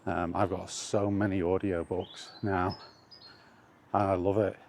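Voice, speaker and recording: A man's voice: deep voice, level tone, a little slow, darkish tone, a little hiss or hum. A man chatting casually in a meandering, hesitant way as he records a walking vlog outdoors, often correcting himself. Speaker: vlogger. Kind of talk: casual rambling walk-and-talk chat.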